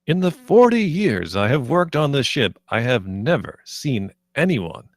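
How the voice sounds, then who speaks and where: A man's voice: medium pitch, very animated, measured pace, no echo. A man playing a grumpy, exasperated veteran spaceship captain in a comedy table read. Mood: weary, exasperated. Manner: exasperated, sighing.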